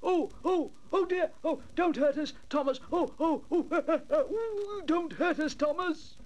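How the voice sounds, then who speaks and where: A man voicing silly, mischievous goods trucks in a storybook, with groany, creaky voices and exaggerated delivery. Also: extremely high pitch, unhurried, darkish tone, voice pushed up. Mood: groaning, pleading. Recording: noisy old storybook LP.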